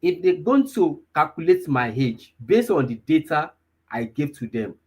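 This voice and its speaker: A man's voice: a little high, big pitch swings, a little slow, darkish tone, slightly rough. A man, teaching a live online data class, repeating himself and often checking whether listeners follow. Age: 20s. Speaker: data analysis instructor.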